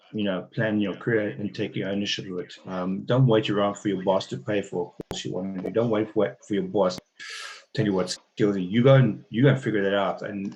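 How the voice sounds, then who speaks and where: A man's voice: on the low side, low-quality recording. A man speaking as a guest at a career meetup, giving earnest advice with some restarts. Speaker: guest speaker, business analyst. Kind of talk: casual panel talk.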